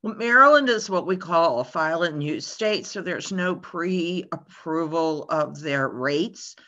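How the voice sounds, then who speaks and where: A middle-aged woman answering a question on a video call from a room at home, measured and informative with hesitant ums. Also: fairly low pitch, expressive, sharp tone. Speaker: insurance regulator answering a question. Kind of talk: webinar Q&A answer. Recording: dull video call audio.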